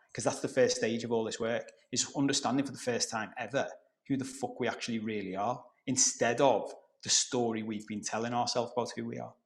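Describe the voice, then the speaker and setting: A middle-aged man from Liverpool with a softened Scouse accent, explaining ideas as a coach on a podcast. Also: mid-range voice. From Liverpool, England. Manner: explanatory, conversational, occasional swearing. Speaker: guest coach. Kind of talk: podcast conversation.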